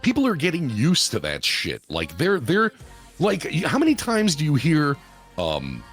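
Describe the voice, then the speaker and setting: A man in his late thirties, co-hosting a podcast in casual chat, animated and profane, often asking "you know what I'm saying". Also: on the high side, moderate pace, faint music behind. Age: 30s. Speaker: podcast co-host.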